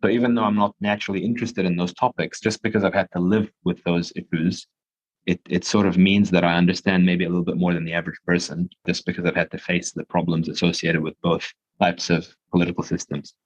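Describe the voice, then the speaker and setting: Low-voiced man, measured and explanatory. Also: flat delivery. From Dubai. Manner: measured, explanatory, with frequent restarts. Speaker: guest, surgeon. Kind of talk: podcast interview answers. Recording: dull lo-fi sound.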